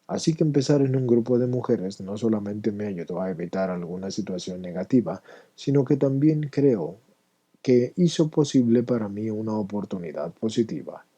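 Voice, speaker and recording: Very dark, husky-voiced man reading aloud. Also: lowish voice, animated, normal speed. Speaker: reader of a written testimony. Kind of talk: reading a written first-person recovery story aloud.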